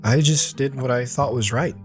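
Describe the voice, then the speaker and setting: Respectful, earnest teen son played by a man, mid-pitched, audio drama, soft guitar underneath. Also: normal speed, natural voice. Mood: respectful, curious. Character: earnest, respectful teenage son of farm family. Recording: faint guitar music under the voice.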